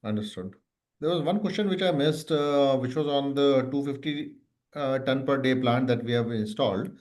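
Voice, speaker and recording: Male earnings-call moderator, Indian accent, mid-range voice, halting delivery while putting questions to management. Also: medium pitch, a little mellow. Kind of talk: business question-and-answer call.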